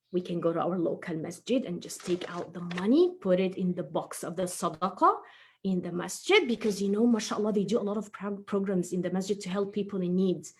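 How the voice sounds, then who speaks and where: A woman's voice: mid-range voice, a little mellow, natural voice. A woman voicing an eager, conscientious child puppet who thinks aloud and explains things simply in a children's story show. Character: chatty, eager young child puppet, impatient but conscientious.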